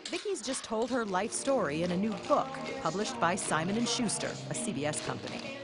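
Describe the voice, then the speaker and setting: A woman correspondent narrating a scripted television news feature in a lively, expressive way. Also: medium pitch, fairly quick. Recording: music bed underneath.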